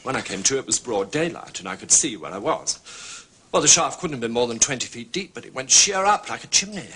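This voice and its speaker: A testy, precise gentleman in a period drama. His voice is forceful and highly animated, with emphatic phrasing. Faint noise sits behind it. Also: a little fast. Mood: dramatic recounting. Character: indignant, articulate gentleman artist under suspicion.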